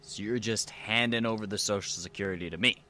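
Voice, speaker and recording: An actor playing a cynical, greedy younger tough in an audio drama, speaking bluntly with sarcastic suspicion. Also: male voice, fairly low pitch, quick pace, sharp tone, a little hiss or hum. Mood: suspicious.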